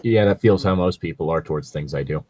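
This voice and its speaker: A man's voice: sits low, fast talker, husky, dry recording. A man chatting casually and jokily about tabletop roleplaying, with some offhand swearing. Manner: animated, jokey, swears casually. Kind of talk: casual chat.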